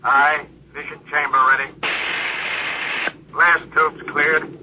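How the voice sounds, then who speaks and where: A man's voice: fairly high pitch, flat delivery, takes it slowly, echoey room, far from the mic. A man playing an efficient spaceship crewman calling out clipped reports in a vintage radio drama. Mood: urgent, efficient. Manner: clipped announcing. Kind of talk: 1950s radio drama acting. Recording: very noisy, muffled intercom-like radio recording.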